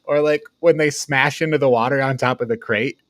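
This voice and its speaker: A man with a medium-pitched voice reviews films casually as a podcast co-host. He is opinionated but halting, often hunting for the next word. The recording is close and dry, without room echo. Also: fairly even intonation.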